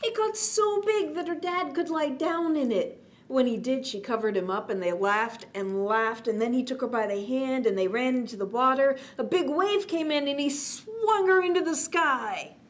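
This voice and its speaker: A woman hosting a children's storytime, reading a bedtime story aloud in an expressive manner and talking gently to young listeners. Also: sits high, normal speed, hardly any pauses. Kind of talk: read-aloud bedtime story with chatty asides. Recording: clearly noisy background.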